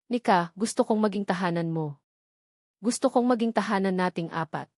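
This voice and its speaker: A man's voice: high-pitched, a little mellow, dry recording, clean studio sound. A woman voicing an earnest, remorseful man pleading with his lost love in a narrated love story.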